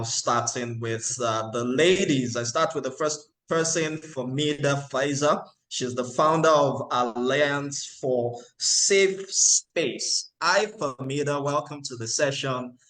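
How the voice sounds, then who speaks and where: A man hosting a webinar panel, introducing speakers in a relaxed, chatty way with fillers and light jokes, heard over a video call. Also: mid-range voice, low-quality recording. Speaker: webinar moderator.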